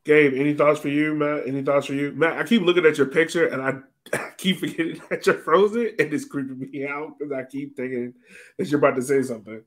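Male sports podcast host, slightly higher pitch, informal and joking, recorded on a video call. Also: fairly even intonation, fast talker. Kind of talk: casual sports podcast hosting.

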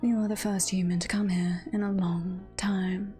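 A woman voicing a gentle, motherly monster caretaker from a video game, speaking softly and formally to soothe. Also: mid-range voice, level tone, moderate pace, soft background music, close to an everyday voice. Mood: soothing.

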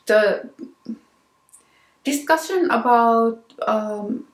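Finnish-accented woman in her 30s, slow and a touch high, unhurried host talking to camera in a small echoey room. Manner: unhurried, many ums and restarts. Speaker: knitting podcast host. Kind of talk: casual solo talk to camera. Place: small room with plain wall, indoors.

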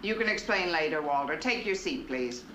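Wry schoolteacher, dry but lively pitch. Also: female voice, normal speed. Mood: firm, dry. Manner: dry, deadpan, precise. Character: wry, quick-witted female high-school teacher. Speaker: actress in a school comedy. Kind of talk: 1950s sitcom acting. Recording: muffled, noisy old soundtrack with audience.

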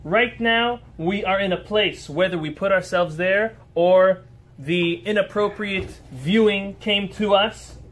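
A man with a notably high voice teaching as a rabbi before a small live group. He speaks earnestly and emphatically, building his points through rhetorical questions. He answers listeners' remarks on the fly. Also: slow pace, fairly bright tone.

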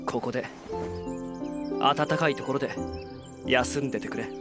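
A man playing a calm, resolute young adventurer in a fantasy audio drama, speaking tersely and with composure. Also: normal speed, over loud music, lightly acted. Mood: gently resolute. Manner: terse, composed, low-key.

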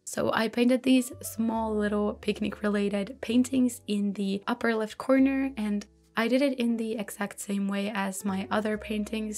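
A woman narrating a voiceover about her journaling, chatty and self-reflective, her voice going slightly hoarse. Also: mid-range voice. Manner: relaxed, chatty, self-reflective, light laughs. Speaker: bullet journal creator. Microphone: voice-over recorded separately. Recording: soft background music.

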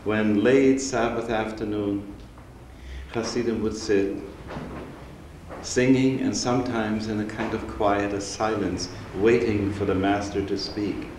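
A man's voice: lowish voice, steady tone, a little mellow. Older male rabbi, Austrian-raised, reflective lecturer; roomy synagogue hall. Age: 60s. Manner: measured, reflective, unhurried storytelling. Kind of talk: public lecture. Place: synagogue hall, seated on stage before an audience. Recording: roomy hall recording with faint hiss.

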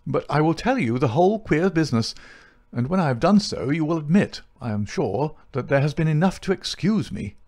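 A man voicing a pompous, indignant, respectable older gentleman in an audiobook story, formal and huffy. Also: a little high, lively intonation, fairly quick, voice pushed up. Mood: rueful, earnest. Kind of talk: audiobook character acting.

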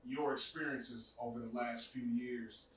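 A man asks measured interview questions with little pitch movement. He is recorded from a distance in a strongly echoing room, with faint noise behind him. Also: fairly even intonation, fairly bright tone. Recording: echoey, distant off-camera mic.

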